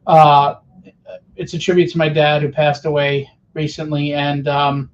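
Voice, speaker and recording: Middle-aged man, slightly higher pitch, lo-fi call audio, halting earnest delivery, casual guest talk. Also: flat delivery. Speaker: panel guest, indie comics writer and publisher.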